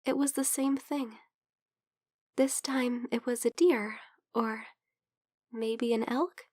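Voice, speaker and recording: Woman doing a soft high vampire bestie for asmr roleplay, slow n caring, kinda sick, whispery, super dry close sound. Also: fairly even intonation, no echo. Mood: explaining, subdued. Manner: slow, gentle, hesitant, slipping into whispers. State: slightly under the weather. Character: caring young female vampire best friend, sick, tender.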